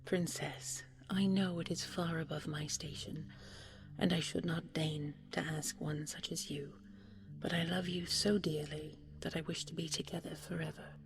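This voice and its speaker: A woman voicing a humble, devoted young fairy-tale hero in a soft, earnest, measured way. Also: deep voice, fairly even intonation. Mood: tender, pleading.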